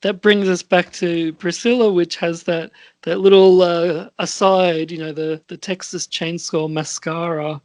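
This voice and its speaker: Guy hosting a casual podcast, slow and halting, trails off a lot, really dry sound. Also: high voice, level tone, dry recording. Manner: halting, trailing off mid-sentence. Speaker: podcast host and scriptwriter. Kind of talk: casual chat.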